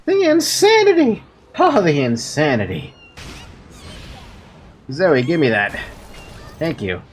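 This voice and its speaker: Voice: medium pitch, huge pitch swings, takes it slowly, a little hiss or hum. A game streamer chatting casually and playfully with viewers in a rambling way while playing. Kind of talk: casual livestream chat over gameplay.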